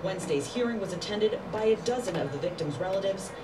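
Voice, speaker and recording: A woman's voice: medium pitch, animated, fast talker, over a noisy crowd. Distant woman reporting the news. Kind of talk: scripted news narration. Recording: distant, noisy broadcast monitor feed.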